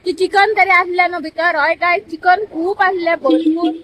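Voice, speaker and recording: Very high-pitched Goan woman. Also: fairly even intonation, normal speed, fairly bright tone. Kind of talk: casual food vlog chat. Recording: faint background noise.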